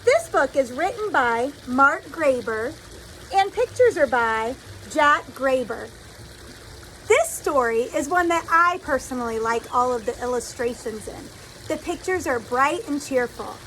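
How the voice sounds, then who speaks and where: A woman reading a children's story aloud in an expressive, deliberate storytelling style, like a teacher addressing young listeners. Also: sits high, a little hiss or hum, poor audio.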